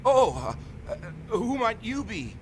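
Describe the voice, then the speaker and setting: Flustered, lively shop worker. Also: male voice, sits high, a little slow, slight background noise. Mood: startled, hesitant. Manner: hesitant, polite, chatty. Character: mild, flustered male shop worker, fond of regulars. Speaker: voice actor in game dub. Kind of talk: English dub game cutscene acting.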